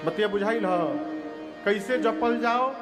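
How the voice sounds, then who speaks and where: A man with a Bhojpuri accent giving a devotional discourse, moving between folksy talk and slow sung bhajan lines over soft music. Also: high-pitched, fairly even intonation. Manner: alternates speech and slow devotional singing, playful folksy asides. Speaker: kathavachak preacher.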